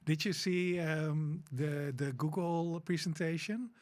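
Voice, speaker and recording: A man's voice: fairly high pitch, darkish tone. Hesitant man, podcast co-host. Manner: hesitant, many fillers, quick to laugh. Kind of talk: informal unscripted conversation.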